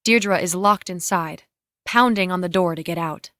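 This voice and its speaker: A woman's voice: lowish voice, moderate pace, sharp tone, no room sound, studio quality. A woman reading scripted, screenplay-style narration and stage directions.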